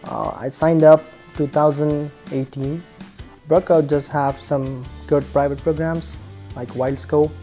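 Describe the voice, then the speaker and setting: A woman's voice: deep voice, fairly even intonation, takes it slowly, warm tone. A woman from New York, a bug bounty hunter, answering in short, laid-back sentences. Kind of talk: casual interview answers. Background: faint music.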